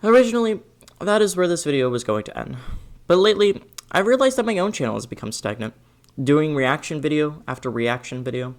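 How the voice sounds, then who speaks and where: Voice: mid-range voice, animated, a little fast. A young adult narrating a scripted video essay in an earnest, emphatic way.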